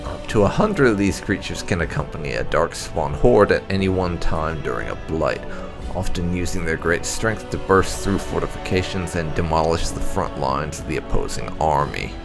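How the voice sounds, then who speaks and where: Low-pitched gravelly man reading lore. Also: animated, normal speed, music in the background. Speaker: gaming channel host. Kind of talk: reading game lore aloud, scripted narration.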